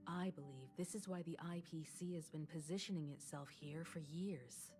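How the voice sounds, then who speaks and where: An actress voicing a composed, knowledgeable woman mentor in a story game, speaking in measured, solemn exposition. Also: low voice, natural voice. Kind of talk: scripted game story dialogue. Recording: loud background music. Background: game music.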